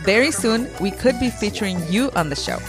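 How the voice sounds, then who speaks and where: Lively woman cohost, voice goes up and down a lot, chatty, bit of music. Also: a little high, a little fast. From Mexican heritage. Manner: giggly, expressive. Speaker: podcast host. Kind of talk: casual animated chat.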